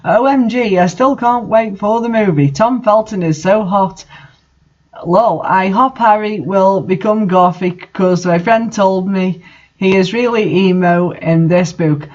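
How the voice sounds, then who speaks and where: A man's voice: sits high, unhurried, highs cut off. A man voicing a gushing, melodramatic, slangy teenage goth girl in a storybook reading.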